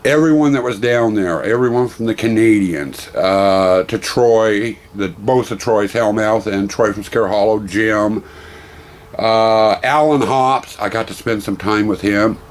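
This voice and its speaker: A middle-aged man vlogging casually about a weekend convention. He has a hoarse voice from losing it, and he rambles warmly, full of thanks. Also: fairly low pitch, measured pace. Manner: rambling, effusive, grateful. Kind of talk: casual vlog talk.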